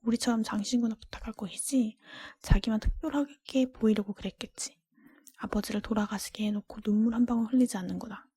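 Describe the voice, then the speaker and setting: A woman voicing a jealous, scornful older sister from a storybook, accusing in a breathy whisper. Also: fairly high pitch, steady tone, fairly quick, warm tone, no room sound. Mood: accusing, bitter.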